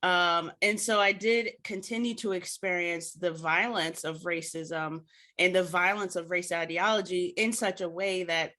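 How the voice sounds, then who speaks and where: American woman, bright forceful voice, slightly low pitch, earnest, articulate, proper diction, conversational personal storytelling. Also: steady tone, unhurried. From United States. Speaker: podcast guest, literature scholar.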